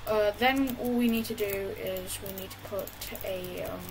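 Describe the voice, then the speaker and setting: A woman casually talking through a building tutorial over gameplay, halting often with fillers and restarts. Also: mid-range voice, steady tone, background noise. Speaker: gaming tutorial creator.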